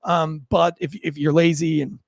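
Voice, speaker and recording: A man hosting an online news show, giving informal, unscripted commentary to viewers in a halting way, often repeating words. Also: fairly high pitch, level tone, no room sound, clean studio sound.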